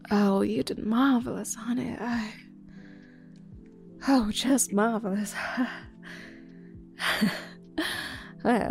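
A woman voicing a flirty, teasing adult server in an intimate audio roleplay, talking in a breathy whisper full of endearments. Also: medium pitch, a little mellow. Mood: languid.

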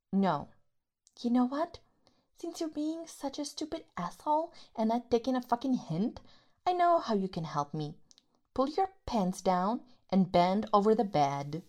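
A young woman acting out a furious, domineering woman who curses constantly, snapping loudly and then dropping to low whispers. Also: vocal fry, full character voice. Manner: profane, snapping outbursts that drop to low whispers. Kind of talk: audio roleplay acting.

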